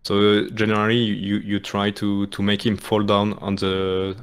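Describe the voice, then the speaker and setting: A man's voice: fairly low pitch, monotone, normal speed, smooth. A man from France with a French accent, explaining a game speedrun in hesitant live commentary full of restarts. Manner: hesitant, many restarts and fillers, apologetic.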